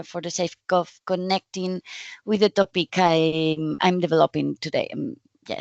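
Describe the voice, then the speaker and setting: A woman from Spain with a Spanish accent, giving a conference presentation in friendly, halting non-native English with frequent restarts. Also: lowish voice, creaky, no room sound, muffled recording. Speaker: conference speaker.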